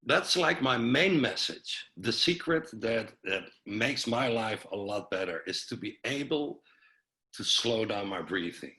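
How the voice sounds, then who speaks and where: A man with a Dutch accent coaches listeners through slow breathing on a video call. His voice is bright and clear, his manner friendly and easygoing, and he laughs at himself now and then. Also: on the low side, expressive. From Netherlands. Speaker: breathwork and cold exposure coach, webinar speaker. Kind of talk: guided breathing instruction in a webinar.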